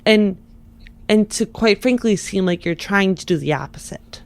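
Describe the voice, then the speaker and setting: A woman's voice: medium pitch, expressive, moderate pace, slight background noise, lightly acted. A woman playing a polite, earnest, questioning would-be adoptive parent in an improvised tabletop role-play. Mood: earnest, probing.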